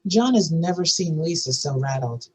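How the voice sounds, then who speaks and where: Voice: mid-range voice, expressive, moderate pace, slightly rough, rough recording. A narrator reading screenplay stage directions and scene headings aloud at a scripted table read.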